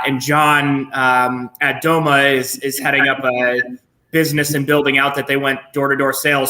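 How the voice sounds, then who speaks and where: A man in his thirties speaking as a panelist over a video call, thoughtful and pausing often with fillers. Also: mid-range voice, bright, forceful tone, poor audio. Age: 30s. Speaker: sales leader, panelist. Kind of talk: business panel discussion.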